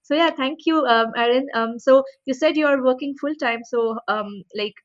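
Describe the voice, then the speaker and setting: A woman's voice: high-pitched, normal speed, no room sound. Hesitant woman hosting an interview. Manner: hesitant, many fillers and restarts. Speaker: host. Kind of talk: casual video interview. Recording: dull dry lo-fi sound.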